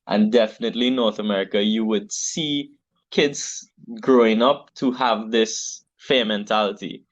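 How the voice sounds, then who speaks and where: Man, podcast guest, calm and earnest, lowish voice, dull dry audio. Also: dry recording. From Trinidad. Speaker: business founder guest. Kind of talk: conversational interview.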